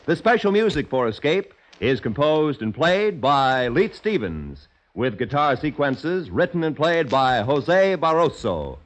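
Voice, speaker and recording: Lively 1950s radio announcer man. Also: on the high side, a little slow, hardly any pauses, slight background noise, low-quality recording. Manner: smooth, lively announcer delivery.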